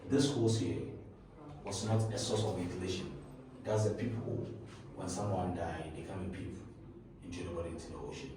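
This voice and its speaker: Brief: lower-voiced male tour guide, measured and explanatory, picked up from a distance on a camera. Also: fairly even intonation. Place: stone castle rooms and dungeons, indoors. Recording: echoey stone rooms, far from the camera, with noise.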